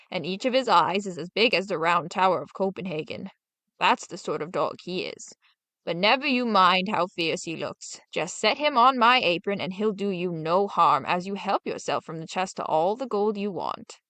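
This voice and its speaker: A woman's voice: mid-range voice, fairly bright tone, dry recording. A woman voicing a sly, bossy old witch in a storybook, coaxing and instructing, curt at times.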